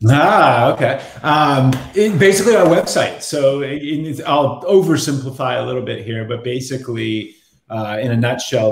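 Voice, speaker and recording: A middle-aged man explaining something casually and in a rambling, self-correcting way on a video call. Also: mid-range voice. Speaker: marketing executive on a panel. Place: home room indoors, video call. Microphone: video call, laptop distance. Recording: echoey room over video call.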